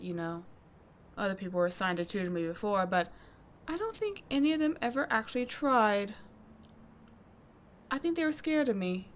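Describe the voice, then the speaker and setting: A tough, sardonic teenage delinquent girl with a kind streak, acted by a woman in an audio roleplay. She speaks at a medium pitch in a casual, confiding way, blunt and halting by turns. Faint ambient noise sits behind her. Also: natural voice. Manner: casual, sweary, halting confiding talk with soft asides. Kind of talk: scripted audio roleplay addressed to the listener.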